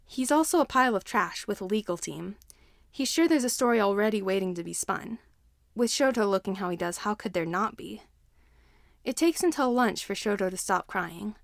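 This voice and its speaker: Female story narrator, medium pitch, expressive scripted reading in a dry, echo-free space. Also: normal speed, creaky. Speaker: fan fiction narrator.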